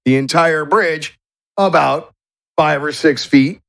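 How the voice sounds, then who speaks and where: A man's voice: a little high, takes it slowly, a bit bright. A man narrating a tabletop game as the game master. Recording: clearly noisy voice track with clicks.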